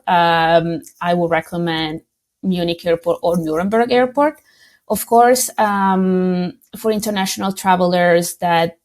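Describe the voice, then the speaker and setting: Low-voiced woman, chatty and hesitant. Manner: hesitant with fillers, laughs often. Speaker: tourism board representative. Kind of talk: conversational interview answers.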